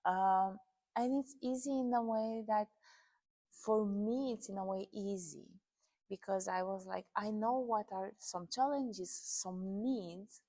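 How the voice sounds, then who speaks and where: A woman from Romania talks as a guest in a casual interview. She is animated, laughs easily and often rephrases her thoughts mid-sentence. Her voice sits mid-range with a dark, mellow warmth. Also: mid-range voice, takes it slowly.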